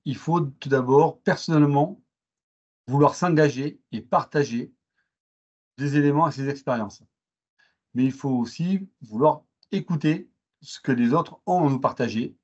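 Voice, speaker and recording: A man with a southern French accent, answering interview questions in a measured way, with frequent hesitations and restarts. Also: mid-range voice, a little mellow, lo-fi sound. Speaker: interviewed guest.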